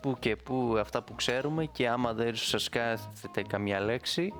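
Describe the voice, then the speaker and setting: A man's voice: mid-range voice, fairly even intonation, a bit bright. A man presenting a casual tutorial in an informal, playful, rambling way. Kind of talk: casual screen-recorded tutorial. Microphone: close studio microphone. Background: music.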